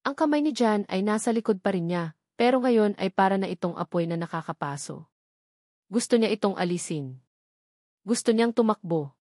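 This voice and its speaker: A woman's voice: medium pitch, animated, moderate pace, darkish tone, no echo, clean studio sound. A woman with a Filipino accent reading a scripted romantic story as a dramatic audiobook narrator.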